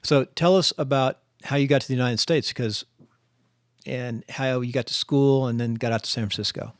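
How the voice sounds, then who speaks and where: A man's voice: mid-range voice, fairly even intonation, moderate pace, no room sound, studio quality. A man hosting an interview, asking questions in a chatty way and often breaking in. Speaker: podcast host.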